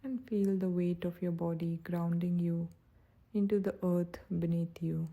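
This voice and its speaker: Very mellow, slow-speaking woman guiding meditation. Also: fairly low pitch, fairly even intonation. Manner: soothing, gentle, unhurried. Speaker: meditation guide. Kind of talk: guided meditation narration.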